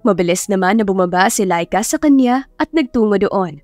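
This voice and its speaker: A woman's voice: mid-range voice, animated, a little fast, a little mellow, no room sound, clean studio sound, soft background music. A woman with a Filipino accent narrating a scripted story in a dramatic storytelling style. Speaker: audiobook narrator.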